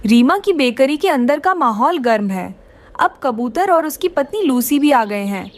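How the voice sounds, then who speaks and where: A woman narrating a children's cartoon story with expressive storytelling. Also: high voice, moderate pace.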